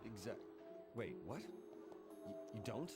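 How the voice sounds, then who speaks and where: A man's voice: on the low side, sing-song, measured pace. A man voicing a nervous, bewildered young man in a video game cutscene, stammering and hesitant. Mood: baffled. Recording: music under the voice.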